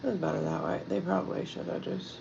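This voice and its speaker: A woman's voice: low voice, big pitch swings, quick pace, creaky, a little mellow, muffled recording, a little hiss or hum. A woman from Pennsylvania chatting casually with viewers at home, rambling and hesitant with lots of fillers. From Pennsylvania, USA. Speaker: self-care coach and vlogger. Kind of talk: casual live chat answering viewers. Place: at home indoors. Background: background music.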